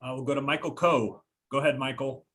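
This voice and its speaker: A man's voice: mid-range voice, steady tone, fairly quick, fairly bright tone. A man briefly moderating a press conference call.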